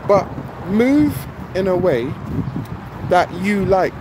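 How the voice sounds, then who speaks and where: A middle-aged man speaks casually and emphatically into a handheld phone, his voice sitting a little high for a man. He circles back to the same points and keeps checking in with the listener. Loud car and street noise runs underneath him. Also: very animated, slow. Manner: emphatic, repeats points, many "you know what I mean". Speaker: vlogger. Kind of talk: casual selfie vlog. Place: outdoors on a street by a shop, traffic around. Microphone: phone at arm's length.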